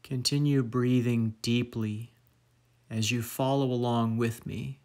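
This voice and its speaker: Male meditation guide, mid-pitched, very slow measured delivery, lingering pauses between phrases. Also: fairly even intonation, darkish tone.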